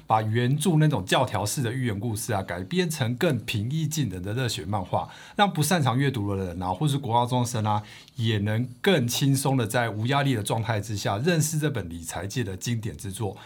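A man's voice: medium pitch, animated, brisk, few pauses, husky. A man co-hosting a book podcast, reading scripted narration as a storyteller.

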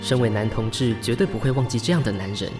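A male actor plays a young Taiwanese man in a radio drama, polite but easily flustered. His mid-range voice is expressive and chatty, full of sighs and hesitant little sounds. Soft music sits behind him. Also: medium pitch, quick pace, close to an everyday voice. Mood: musing, polite. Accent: Taiwanese Mandarin. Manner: expressive, sighing, hesitant interjections. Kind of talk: Mandarin radio drama acting with inner monologue. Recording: studio voice over background music. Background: background music.

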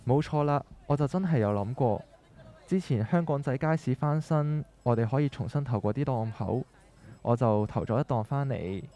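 Man playing an earnest young son, mellow Cantonese. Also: medium pitch, normal speed, lightly acted. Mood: hopeful, earnest. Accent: Hong Kong Cantonese. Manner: earnest, persuasive. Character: earnest, determined young adult son of fish-market family. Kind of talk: scripted radio drama dialogue.

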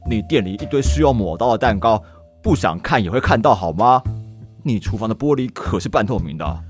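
An actor plays a stubborn, secretly fond middle-aged man who gets flustered easily. His Taiwanese Mandarin swings animatedly between prickly scolding and bossy instruction, in radio play style, over quiet music. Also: on the low side, expressive, fairly quick, lightly acted. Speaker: voice actor in audio drama. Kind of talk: Mandarin BL audio drama acting.

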